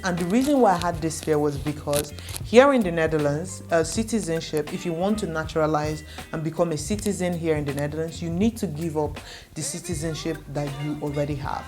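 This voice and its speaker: A woman's voice: sits low, a little mellow. A young adult woman from Nigeria, a native Igbo speaker, earnestly reassuring viewers in a direct-to-camera advice vlog and repeating points for emphasis. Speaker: vlogger.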